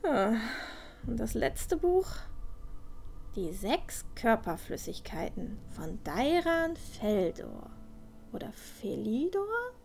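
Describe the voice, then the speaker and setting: A woman's voice: fairly high pitch, very animated, slow, vocal fry, darkish tone, a little hiss or hum, exaggerated voice. A woman playing a curious, squeamish young apprentice in a fan-made fantasy radio play, thinking aloud to herself. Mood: curious, musing. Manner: thinking aloud, with gasps and sounds of disgust.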